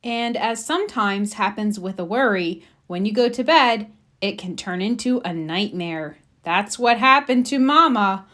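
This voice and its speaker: A woman reading a children's storybook aloud, with expressive, emphatic narration for young listeners. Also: medium pitch, a little slow. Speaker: storybook reader.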